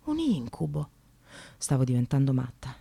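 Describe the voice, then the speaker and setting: An actress playing a sardonic, separated working mother in a radio monologue, confessional and swinging from hushed to vehement. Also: very deep voice, sing-song, moderate pace, gravelly, breathy edge, heavily acted. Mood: wry, resigned. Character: Sara, bitter, self-mocking separated mother in precarious work.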